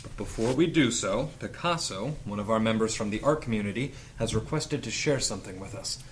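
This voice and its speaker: A man's voice: lowish voice, expressive, a little fast, slight background noise, lightly acted. A man playing an earnest, solemn leader of a secret political movement, speaking like an orator in an audio drama read-through. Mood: composed, formal.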